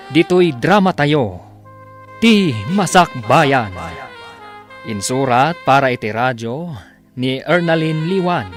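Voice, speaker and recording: A man with an Ilocano accent narrating and announcing a radio drama in a theatrical, declamatory way. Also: fairly high pitch.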